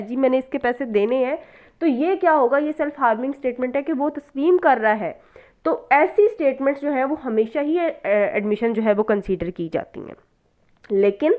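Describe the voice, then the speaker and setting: A woman with a Pakistani accent giving an explanatory law lesson, mixing in English terms and posing questions that she then answers. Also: on the high side. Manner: didactic, uses rhetorical questions and check-ins; mixes English legal terms into speech. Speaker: law lecturer.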